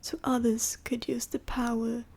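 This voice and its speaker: A woman voicing a sorrowful, gentle dying dragon in an ASMR roleplay, whispery and short of breath, with slow, formal, old-fashioned phrasing. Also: fairly high pitch, little pitch movement, slightly rough. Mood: wistful, weary. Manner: slow, formal, archaic phrasing with heavy pauses. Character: dying female dragon, last of her bloodline, sorrowful, gentle.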